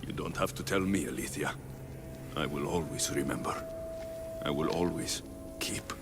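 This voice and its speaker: Low, somber, resolute man. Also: moderate pace, noisy background. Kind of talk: video game voice acting.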